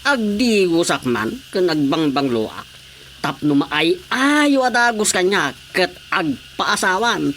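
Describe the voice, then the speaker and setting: A comic young bachelor with an Ilocano accent, eager and boyish. His excitable voice leaps up and down in pitch in radio drama style, with faint noise behind it. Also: slow pace, exaggerated voice. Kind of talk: Filipino radio drama comedy acting.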